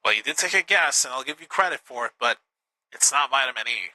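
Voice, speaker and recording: A man hosting a live game show with an upbeat, teasing manner, a little hoarse. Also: mid-range voice, expressive, quick pace, pushed and bright, low-quality recording. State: slightly hoarse.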